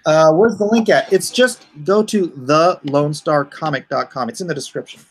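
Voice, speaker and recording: A man's voice: a little high, moderate pace, a little hiss or hum. A man chatting casually as a livestream host, rambling informally with joking asides. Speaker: comic artist hosting a crowdfunding livestream.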